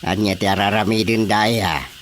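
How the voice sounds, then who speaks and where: A woman's voice: extremely low pitch, fairly even intonation, moderate pace, a little hiss or hum. A woman with an Ilocano Filipino accent playing an easygoing, amused, placating village chairman in a radio drama comedy. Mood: questioning.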